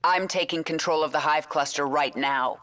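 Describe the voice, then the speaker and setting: A woman's voice: deep voice, moderate pace. An actress playing a cold, decisive female queen of an alien swarm who gives commands. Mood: commanding. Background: loud game music.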